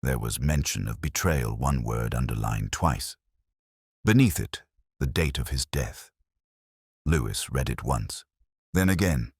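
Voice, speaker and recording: A world-weary, erudite detective inspector played by a man narrates a mystery drama in a very deep voice. The delivery is brooding and measured, with literary phrasing and plenty of melodic rise and fall. The sound is dry and close, with no room around it. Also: expressive, breathy edge, dry recording, full character voice. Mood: wry, somber. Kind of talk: scripted first-person mystery narration.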